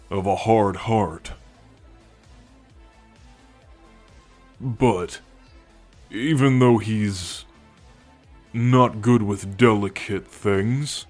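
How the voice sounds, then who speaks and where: A man voicing a friendly, teasing alien soldier in an intimate ASMR roleplay, talking in a gentle, playful, confiding way. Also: on the low side. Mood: earnest, sympathetic. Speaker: voice actor in an audio roleplay. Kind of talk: ASMR roleplay, intimate one-sided conversation.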